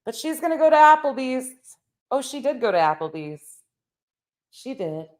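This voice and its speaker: A woman's voice: high voice, sing-song, moderate pace. A woman hosting reaction commentary, sarcastic and profane, with theatrical, mocking delivery. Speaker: commentary channel host.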